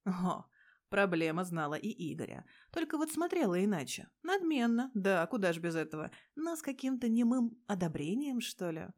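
A woman's voice: mid-range voice, sing-song, moderate pace. Sardonic woman narrating fan fiction. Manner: sardonic, crude slangy storytelling with frequent swearing. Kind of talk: expressive scripted narration.

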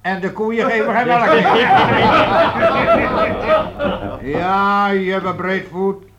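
Blunt medieval townsman, commanding, high-pitched, unhurried, radio drama. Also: fairly even intonation, lo-fi sound, over a noisy crowd. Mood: rousing speech. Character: brash, proud medieval townsman, leader of guild archers. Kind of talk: radio play acting. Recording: faintly noisy old radio play recording.

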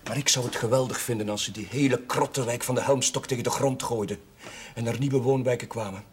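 An actor playing a troubled, idealistic young village priest in a television period drama, speaking Dutch in an earnest, brooding way. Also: male voice, mid-range voice, fairly even intonation, brisk, husky. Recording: noisy old television soundtrack.

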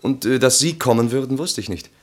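An actor in a German radio play playing an awkward, polite husband hosting a party, speaking hesitantly and evasively. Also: male voice, mid-range voice, brisk, lightly acted. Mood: apologetic, troubled.

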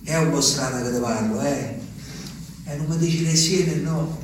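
A man's voice: fairly high pitch, moderate pace, close to an everyday voice. An actor playing a lonely old peasant man, musing aloud to the moon in a theatrical monologue. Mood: wistful. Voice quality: musing, talks to the moon, rambling. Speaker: stage actor. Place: theatre stage. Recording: distant stage recording with reverb and faint noise.

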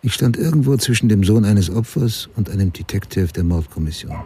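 A man's voice: lowish voice, lively intonation, a little fast, warm tone, husky, dry recording, full character voice. An actor playing a sober, hard-boiled crime writer who narrates his past in a terse, matter-of-fact way in a radio drama.

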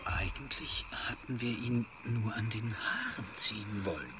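An actor playing a sly, gleeful, slow-talking schemer. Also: lively intonation, loud music behind the voice, close to an everyday voice. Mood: musing, scheming. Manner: conspiratorial, swinging from whispers to shrill glee. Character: sly, gleeful head-snatcher plotting grisly pranks. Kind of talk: stylized radio play acting.

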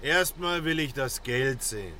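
Irritable cabbie, crude and barking, forceful higher voice, slow delivery, car noise behind. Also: male voice, lively intonation. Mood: curt, irritated. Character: gruff, angry male taxi driver, crude and mistrustful. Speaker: actor. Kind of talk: radio play acting. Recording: traffic and engine noise. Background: car and street noise.

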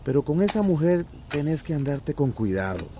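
Lively, folksy village man. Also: on the high side, moderate pace, background noise. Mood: sly. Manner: teasing, folksy. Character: teasing, folksy older village labourer. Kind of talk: radio drama acting.

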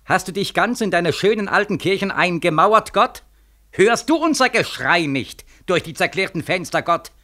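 An actor in a 1940s radio play as a bitter, desperate young soldier, mocking and questioning insistently. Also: male voice, high voice, lively intonation, moderate pace, pushed and bright. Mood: bitter, accusing.

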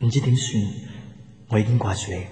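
An actor playing a lovesick, hard-working young office boss in a radio drama, earnest and understated. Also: male voice, lowish voice, a little fast, close to an everyday voice. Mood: longing. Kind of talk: Cantonese radio drama acting.

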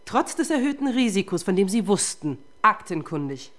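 A woman's voice: mid-range voice, very animated, moderate pace, a bit bright. An actress playing a tough, relentless female police detective in a German radio crime drama, confrontational and sardonic. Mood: pressing.

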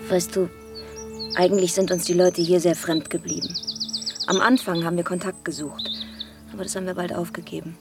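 A woman's voice: lowish voice, flat delivery, brisk, long pauses, music in the background, close to an everyday voice. An actress playing a grieving, disillusioned mother and rural outsider in a radio drama, reflective and confiding. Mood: bitter, confiding. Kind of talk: German radio drama acting.

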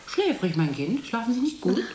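A woman's voice: on the high side, very animated, moderate pace, reverberant, noisy background. A woman playing a middle-aged, worldly artist's wife in a radio drama, chatty, affected and patronising. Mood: patronising, needling. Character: condescending, worldly artist's wife, secure and slyly needling. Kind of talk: German radio play acting.

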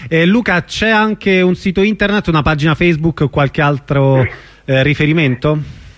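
A man with a slightly high voice hosts a radio interview. He speaks informally, as an interviewer talking with a guest. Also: fairly even intonation, moderate pace.

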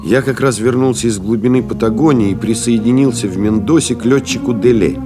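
An actor playing a pilot who recalls a lost comrade, reading solemnly, expressively and in measured literary phrases. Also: male voice, mid-range voice, lightly acted. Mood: solemn, reflective. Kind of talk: dramatic literary reading in an audio play. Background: music behind the voice.

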